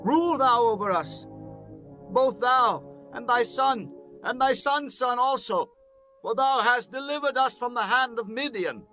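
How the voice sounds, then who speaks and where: Proud ancient townsman, very high sharp voice, declamatory delivery for scripture audio drama. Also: measured pace, faint music behind, exaggerated voice. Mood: pleading. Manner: declamatory, archaic formal speech. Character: proud spokesman for ancient Israelite men.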